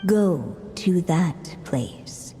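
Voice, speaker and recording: Solemn, enigmatic female fairy-tale narrator, deliberate poetic delivery, reverberant with faint rumbling noise. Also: animated, dark tone. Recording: long echoing reverb, low rumbling noise.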